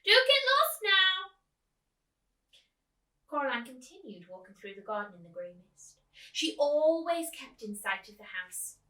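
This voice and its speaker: A woman's voice: medium pitch, lively intonation, moderate pace, distant mic. A woman reading a children's book aloud in a storytime style. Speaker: storytime reader, teacher. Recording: echoey room, away from the mic.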